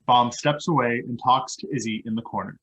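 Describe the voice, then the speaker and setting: A woman's voice: very deep voice, a little fast, rough recording. A woman reading out stage directions as plain scripted narration for a play.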